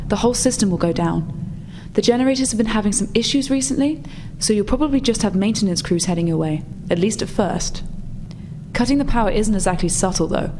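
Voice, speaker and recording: A woman playing a brisk, practical rebel contact in a tabletop roleplay, explaining things matter-of-factly. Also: medium pitch, background noise, natural voice. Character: female rebel contact, brisk, practical, slightly flustered insider technician.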